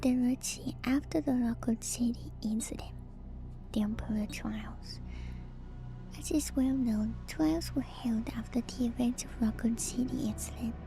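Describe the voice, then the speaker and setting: Slow whispering ASMR woman over music. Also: fairly high pitch, poor audio. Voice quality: soft, breathy whisper. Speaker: streamer and host. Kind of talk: whispered ASMR reading with casual commentary.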